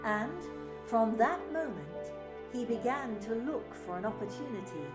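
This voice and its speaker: Expressive woman narrating a Bible story. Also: a little high, unhurried. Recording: echoey room with faint music.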